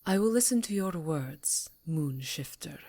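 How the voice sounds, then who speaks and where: A woman's voice: deep voice, lively intonation, a little slow, gravelly, warm tone, exaggerated voice. A woman playing a regal, coolly civil vampire countess in an ASMR roleplay, with a formal, imperious delivery.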